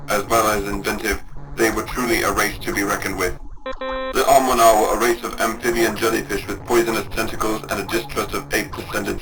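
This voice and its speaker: A man reading scripted narration like a history book, in a measured, formal manner. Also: fairly low pitch, poor audio. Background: music.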